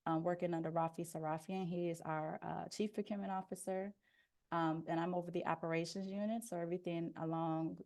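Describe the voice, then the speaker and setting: A soft-spoken woman walks viewers through an informational webinar. Her voice sits a bit low and carries a gravelly fry. She explains steadily with plenty of "um"s, speaking through a headphone mic on a video call. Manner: explanatory, steady, with frequent "um" fillers. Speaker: deputy procurement officer presenting a webinar.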